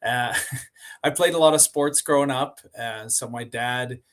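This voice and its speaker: A man from Sweden, a company co-founder, telling stories as a podcast guest in a relaxed, rambling way, with many fillers and restarted words. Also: medium pitch, a little slow. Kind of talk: casual interview storytelling.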